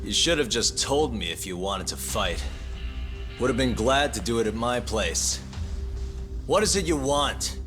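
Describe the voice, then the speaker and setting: Man playing a wry, animated street detective. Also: mid-range voice, a little slow, natural voice. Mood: dry, defiant. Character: wry, tough, streetwise male detective hero, unafraid. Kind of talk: video game cutscene acting. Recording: dramatic music under the dialogue.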